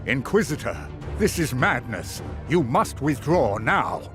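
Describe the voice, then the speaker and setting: An actor playing a loyal, stern space knight officer in a sci-fi game, with formal, dramatic delivery. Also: male voice, mid-range voice, big pitch swings, a little slow, music in the background. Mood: alarmed command.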